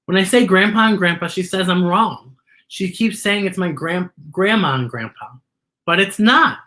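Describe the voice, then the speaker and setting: A woman's voice: lowish voice, normal speed, pushed and bright, pitched above the performer's own voice. A woman voicing a timid, upset little girl in a storybook, pleading and insistent.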